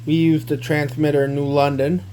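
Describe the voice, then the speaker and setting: A man gives a casual, halting, step-by-step product walkthrough, often repeating himself. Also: fairly high pitch, flat delivery, dark tone, slight background noise. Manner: halting, repetitive, step-by-step explaining. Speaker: amateur gadget demonstrator.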